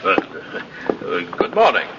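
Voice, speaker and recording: An actor playing a dry, formal, middle-aged courtroom judge in a 1950s radio drama. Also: male voice, mid-range voice, wildly swooping pitch, slow pace, heavily acted. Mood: formal, measured. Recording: dull, noisy vintage radio recording.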